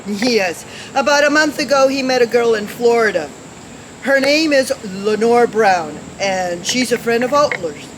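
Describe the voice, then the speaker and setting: A man's voice: very high voice, animated, measured pace. A male actor playing a worried, formal parole official and father, earnest and polite, in an old-time radio detective drama. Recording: noisy, hissy radio play recording.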